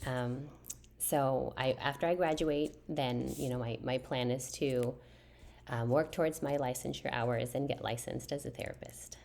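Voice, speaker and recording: A soft-spoken woman in her thirties answers her viewers' questions in a relaxed, chatty way. Her voice sits very low and has a gravelly fry. She often pauses and restarts as she talks. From Philippines. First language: a Philippine language. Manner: soft-spoken, hesitant with many fillers and restarts. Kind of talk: casual chat to camera.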